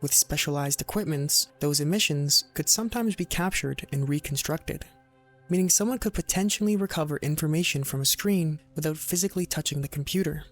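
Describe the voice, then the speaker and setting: A man with a slightly high voice delivers scripted documentary narration. He explains in a measured way, setting off short phrases for dramatic weight. Music plays softly behind him. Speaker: video narrator. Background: background music.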